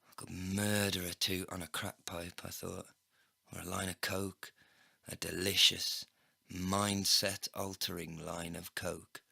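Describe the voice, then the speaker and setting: Low, creaky-voiced male storyteller. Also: little pitch movement, a little slow, sharp tone, dry recording, clean studio sound. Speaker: narrator in character. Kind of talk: scripted first-person comedy story narration.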